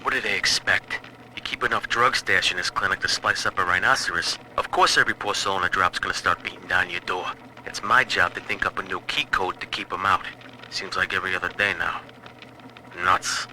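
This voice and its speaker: Irritated period tradesman for game log; sharp, low voice, slight radio-like noise. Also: fairly even intonation, a little fast. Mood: exasperated, grumbling. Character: exasperated, put-upon wisecracking working man. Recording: faintly noisy, radio-like audio log.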